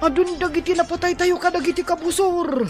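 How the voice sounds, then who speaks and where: A very high-pitched urgent warrior. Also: male voice, fairly even intonation, a little fast, a little mellow, faint music behind. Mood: excited, rallying. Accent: Filipino. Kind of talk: radio drama acting.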